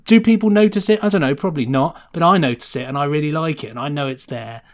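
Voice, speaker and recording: A man's voice: on the high side, quick pace. A man talking casually and enthusiastically as the guest on a podcast interview. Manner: enthusiastic, chatty. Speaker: guest sound designer.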